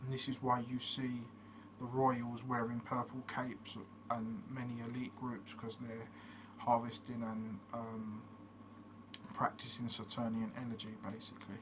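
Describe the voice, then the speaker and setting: A man hosting his own channel, giving a casual explanatory monologue in a steady way, with frequent fillers. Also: medium pitch, a little slow. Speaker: channel host. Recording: noticeable background noise.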